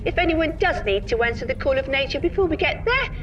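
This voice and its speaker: An officious coach guide making a formal announcement in a radio comedy. Her high, swooping voice comes through a dull, distant PA. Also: animated, fast, a bit bright. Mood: officious, chirpy. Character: brightly officious female coach tour announcer. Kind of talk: scripted announcement in audio comedy. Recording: dull, distant PA sound over music.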